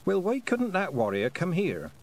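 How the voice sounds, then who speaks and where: A man's voice: high-pitched, wildly swooping pitch, moderate pace, voice pushed up, full character voice. A man voicing an earnest, stubborn young girl in a storybook, direct and questioning. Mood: questioning, stiff.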